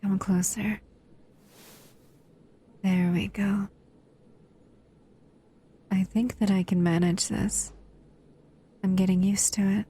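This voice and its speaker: Woman voicing a husky shadow monster. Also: medium pitch, little pitch movement, takes it slowly, pauses often, warm tone. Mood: tender, soothing. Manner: soothing, intimate, often whispering. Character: captive female shadow monster, protective and affectionate. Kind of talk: audio roleplay to a listener.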